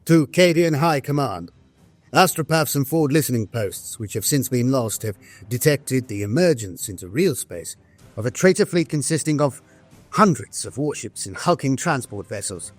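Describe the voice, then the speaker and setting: A man voicing a grave, dutiful staff officer of a far-future army in an audio drama, formal, solemn and measured. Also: mid-range voice, expressive, close to an everyday voice. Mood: grave, urgent. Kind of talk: scripted audio drama narration of war journals and briefings. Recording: music behind a studio voice.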